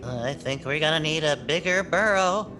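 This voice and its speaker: A woman's voice: low voice, normal speed, loud music behind the voice, close to an everyday voice. A woman playing a wry, wisecracking comic sidekick in a 1940s-style radio comedy. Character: wisecracking, jittery comic treasure-hunting sidekick.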